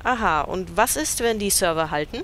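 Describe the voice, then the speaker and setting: Actress as a deadpan reporter, brisk and matter-of-fact. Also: female voice, mid-range voice, close to an everyday voice. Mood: dry. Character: dry, unimpressed female news reporter. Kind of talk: parody audio drama.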